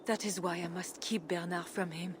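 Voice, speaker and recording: A woman playing a dignified, guarded and pious medieval Frankish queen in measured, formal video game dialogue. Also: lowish voice, fairly even intonation, a little hiss or hum, natural voice. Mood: earnest, resolute. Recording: music behind the voice.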